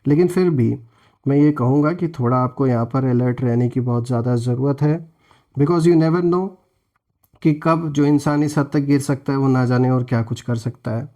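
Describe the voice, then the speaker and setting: Mellow-voiced man reading tarot. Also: medium pitch, moderate pace. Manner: explanatory, addresses listeners directly as friends. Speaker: tarot card reader and host. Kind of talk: tarot reading addressed to viewers.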